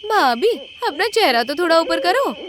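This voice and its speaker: Woman voicing a high, playful young sister. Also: very animated, moderate pace, a crowd in the background.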